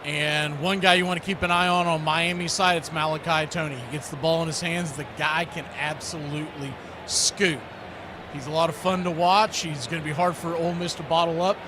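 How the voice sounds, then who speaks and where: A man's voice: a little high, steady tone, brisk, background noise. An American man hosting a live football watch party, chatting casually and in a folksy way as he previews the game.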